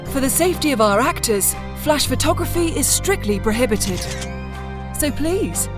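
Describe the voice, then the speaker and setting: A woman's voice: high voice, lively intonation, moderate pace, over music. A woman reading a formal, theatrical scripted announcement for an audio show.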